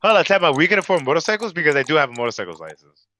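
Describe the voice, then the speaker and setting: A man's voice: on the high side, sing-song, rapid-fire, pushed and bright. A man chatting casually and teasingly as a panel guest.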